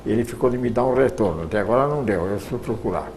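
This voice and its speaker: A man's voice: lowish voice, animated, very fast, a crowd in the background. An older man with a Brazilian accent answering informally as an interview guest, rambling and often repeating his words. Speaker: interview guest, radio broadcaster.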